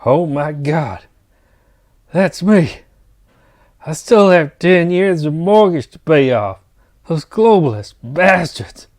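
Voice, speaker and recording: A man's voice: a little high, lively intonation, slow pace, long pauses, darkish tone. A man playing a grumbling, set-in-his-ways middle-aged engineer and weary husband in an audio drama. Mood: distressed.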